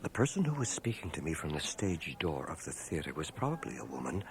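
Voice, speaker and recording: A man's voice: extremely low pitch, sing-song, a little fast, exaggerated voice. An actor playing a dry, candid narrator recalling his past in a radio drama, in exact, literary phrasing. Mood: wry, descriptive. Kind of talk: dramatized first-person narration. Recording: faintly noisy cassette copy of radio drama.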